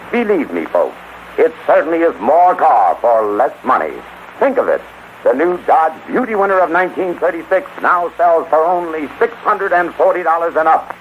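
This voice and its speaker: A man giving a folksy, salesmanlike pitch as announcer of a 1930s radio commercial. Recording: dull, faintly noisy 1930s radio.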